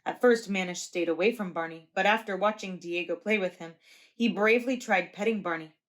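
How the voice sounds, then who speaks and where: A preschool teacher reading a children's picture book aloud, clear, slow and expressive. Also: female voice, medium pitch.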